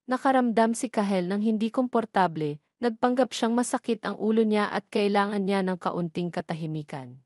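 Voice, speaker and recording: A woman's voice: medium pitch, darkish tone, no room sound, clean studio sound. A woman reading scripted narration of a romantic story, as a storyteller.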